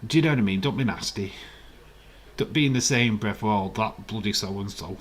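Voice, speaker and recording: A man with a croaky, hoarse voice, hosting a casual live stream, chatting in a rambling way and reading out viewer comments. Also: on the low side, moderate pace, a little hiss or hum.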